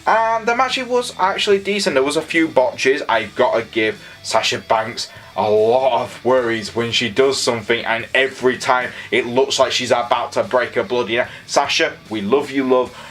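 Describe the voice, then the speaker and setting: A man with a Yorkshire accent giving a chatty, enthusiastic solo review of a wrestling show, often jumping up in pitch on his opinions. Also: normal speed, few pauses, fairly bright tone. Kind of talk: casual solo review commentary.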